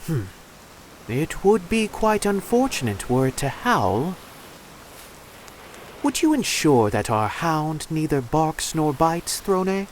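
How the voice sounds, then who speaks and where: An actor playing a courteous, composed, analytical male detective in a video game, with formal, deliberate phrasing. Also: on the high side, big pitch swings, a little hiss or hum. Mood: measured, courteous.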